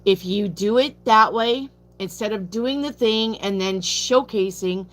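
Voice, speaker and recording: A woman giving a live tarot reading, slow and chatty, drifting into asides between cards. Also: medium pitch. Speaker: tarot reader. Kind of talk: live-stream card reading and chat.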